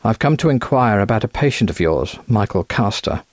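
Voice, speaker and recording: Man reading as a polite gentleman investigator, formal and genial, audiobook style, no room sound at all. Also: fairly low pitch, animated, a little fast, no room sound, studio quality. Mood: polite, probing. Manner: courteous, formal.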